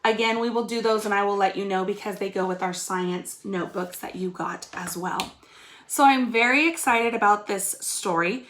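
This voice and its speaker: A woman's voice: medium pitch, moderate pace, fairly bright tone, a little hiss or hum. A woman teacher giving young pupils an informal video lesson, explaining step by step and addressing them directly. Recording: echoey room.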